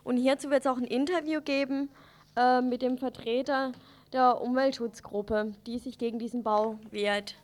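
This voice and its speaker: A woman's voice: fairly high pitch, fairly even intonation, moderate pace. A woman presenting topics as co-host of an informal radio news programme.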